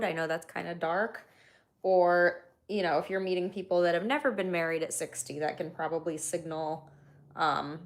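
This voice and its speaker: A woman from Ukraine, a native Russian speaker, casually chatting on her livestream as a comedian and podcast host. She reads viewer comments aloud and thinks out loud with easy pauses. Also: fairly low pitch. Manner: easygoing, thinks aloud with frequent ums, reads chat comments aloud. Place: at home, brushing her dog.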